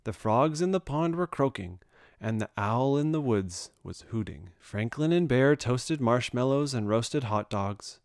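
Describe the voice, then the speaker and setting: A man reading a children's story aloud with expressive narration. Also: medium pitch, measured pace, smooth, no echo, studio quality. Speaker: storybook reader.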